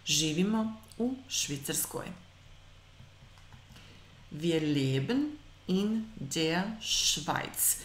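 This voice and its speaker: Woman teaching german on a webcam, slow careful sentences, lowish voice, echoey room with a faint hiss. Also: vocal fry. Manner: slow, careful German model sentences, quick asides in Croatian. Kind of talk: online language lesson.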